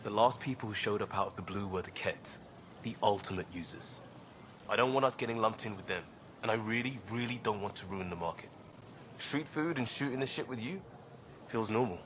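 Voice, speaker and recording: A man's voice: on the low side, a little fast, a bit bright, slight background noise, lightly acted. An actor playing an earnest young crewman in a video game, talking informally and candidly. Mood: earnest, apologetic. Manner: informal, candid, a little sheepish. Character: earnest young male crisis-response crewman.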